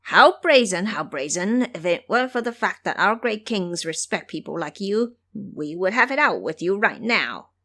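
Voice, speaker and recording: A woman's voice: mid-range voice, moderate pace, hardly any pauses, full character voice. A woman voicing a gullible young male demon imp, an eager, naive servant, in an audio drama. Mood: indignant. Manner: excitable, earnest, naive. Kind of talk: audio drama character acting.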